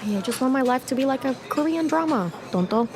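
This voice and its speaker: A woman's voice: sits high, animated, fairly quick. A woman playing a sassy, stubborn young student who bickers in exasperation in an audio drama. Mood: exasperated, sassy. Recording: clear background noise.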